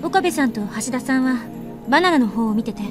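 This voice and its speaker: An actress playing a curt, scolding young woman scientist in a visual novel, brisk and assertive. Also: sits high, lively intonation, music in the background. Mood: serious, businesslike. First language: Japanese.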